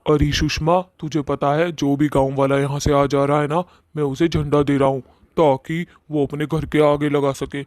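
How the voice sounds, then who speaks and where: A man's voice: a little high, flat delivery, normal speed, darkish tone, dry recording, clean studio sound, close to an everyday voice. A man voicing a crude, swaggering ghost in a comic horror cartoon, blustering and threatening. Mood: boastful. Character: swaggering male ghost, crude, bullying, menacing. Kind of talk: Hindi cartoon horror-comedy acting.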